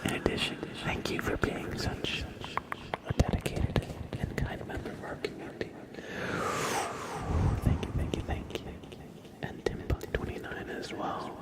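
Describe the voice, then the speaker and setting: A man hosting a live ASMR stream, speaking in a breathy, hushed whisper with repeated, drawn-out words. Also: low-quality recording. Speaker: ASMR livestream host. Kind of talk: live ASMR, thanking viewers and chatting.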